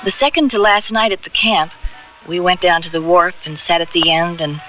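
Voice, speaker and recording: A woman's voice: on the low side, brisk, phone-quality sound, lightly acted. An actress playing a naive, hesitant young woman in a radio drama, demure and plain-spoken. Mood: wistful. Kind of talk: radio drama acting with first-person narration. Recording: thin band-limited radio recording, loud music.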